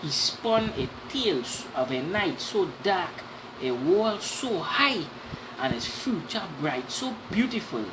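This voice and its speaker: A woman's voice: fairly low pitch, very animated, slow. A woman telling a children's story aloud, with explanatory asides. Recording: clearly noisy background.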